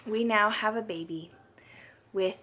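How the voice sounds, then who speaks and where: A woman's voice: medium pitch, a little slow, bright, forceful tone. A woman in her twenties chatting casually to camera at home, in a rambling, hesitant way. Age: 20s. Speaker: vlogger. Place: indoors at home. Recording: clear background noise, home room.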